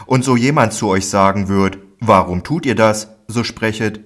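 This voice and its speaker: A man voicing a calm, authoritative male teacher in a scripture reading, solemn and measured. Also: fairly low pitch.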